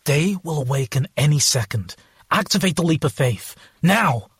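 Very raspy alien rebel. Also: male voice, fairly high pitch, animated, normal speed, no room sound, clean studio sound, full character voice. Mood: urgent. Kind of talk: audio drama acting.

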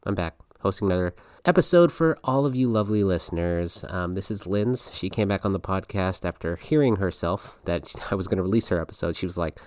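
A man's voice: low voice, lively intonation, a little fast, few pauses, a little mellow, dry recording, clean studio sound. A man hosting a podcast in a chatty, giggly way, with plenty of ums. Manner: chatty, giggly, lots of fillers. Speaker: podcast host. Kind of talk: casual podcast chat.

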